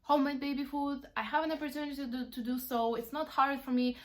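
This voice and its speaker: A young woman from Ukraine whose first language is Russian, talking in a relaxed, candid way as she answers viewer questions. Her voice sits a little on the high side, and her sentences are full of ums and uhs. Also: quick pace. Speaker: vlogger, mother. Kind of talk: casual Q&A while wrapping gifts.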